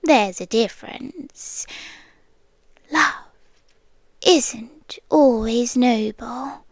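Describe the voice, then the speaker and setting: A woman with a British accent playing a possessive, ageless creature girlfriend in an intimate ASMR roleplay, breathy and often whispering, tender yet menacing. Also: on the high side, no echo, exaggerated voice. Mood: tender, pleading. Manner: slow, deliberate, coaxing with lingering pauses. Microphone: close, dry ASMR microphone.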